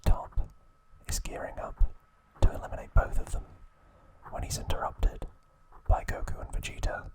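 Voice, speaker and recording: A man whispering an ASMR recap of trivia, pausing now and then to correct himself. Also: poor audio. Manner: slow soft whisper, occasional self-corrections. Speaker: ASMR host.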